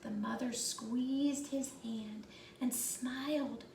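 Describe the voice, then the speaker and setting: A woman narrating a children's storybook aloud with deliberate, dramatic phrasing. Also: a little high, steady tone, gravelly, darkish tone. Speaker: storybook reader. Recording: roomy echo, faint background noise.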